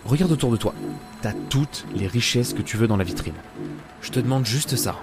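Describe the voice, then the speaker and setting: Male actor as gruff adventurer, world-weary, principled, muttering, audio drama, loud music bed. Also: mid-range voice, lively intonation, normal speed. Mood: calm, firm. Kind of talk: audio drama acting with inner monologue.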